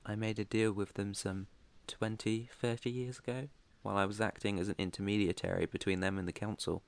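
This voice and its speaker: A man's voice: fairly low pitch, natural voice. A British man playing an ancient vampire master in an ASMR roleplay, proud, protective and tender, with an earnest, slightly formal way of talking. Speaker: roleplay voice performer.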